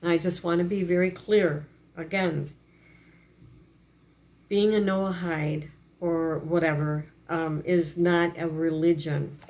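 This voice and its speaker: A woman with a froggy voice, hesitantly reading and explaining a religious text with many pauses and fillers. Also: on the low side, fairly even intonation, a little mellow. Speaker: religious teacher.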